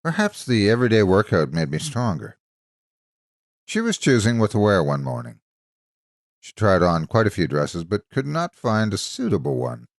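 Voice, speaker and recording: A man narrating from a script as a storyteller, with a measured, expressive and dramatic delivery. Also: on the low side, no echo, clean studio sound. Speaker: story narrator and channel host.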